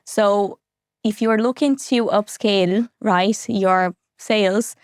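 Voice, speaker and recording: Lively woman. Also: mid-range voice, takes it slowly, clean tone, dry recording, studio quality. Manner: animated, laughs often, tag questions. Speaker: podcast guest, recruiter. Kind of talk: casual interview chat.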